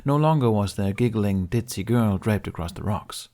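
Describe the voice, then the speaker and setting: A man's voice: fairly low pitch, animated, moderate pace, warm tone. A man narrating a scripted story as an audiobook reader.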